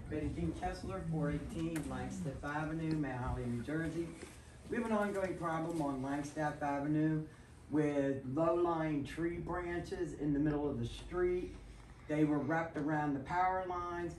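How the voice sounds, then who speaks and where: A woman's voice: sits low, warm tone, slight background noise. A woman resident making a detailed, insistent complaint during public comment at a council meeting. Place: township council meeting room. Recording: echoey meeting room, far mic.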